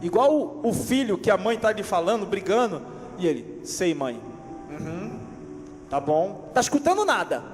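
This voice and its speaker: A male pastor from Rio speaks with a Carioca accent and a notably high voice. He preaches with animation, acts out little scenes from daily life, and keeps calling for amens. The sermon rings through a church hall with a long echo and soft music behind it. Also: very animated, moderate pace. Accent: Carioca Brazilian Portuguese. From Rio de Janeiro, Brazil.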